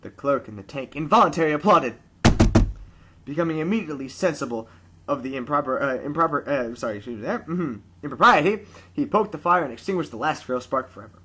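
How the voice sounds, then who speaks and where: A man narrating a classic story aloud as an amateur reader, with expressive, theatrical storytelling. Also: fairly high pitch, fast talker, slight background noise.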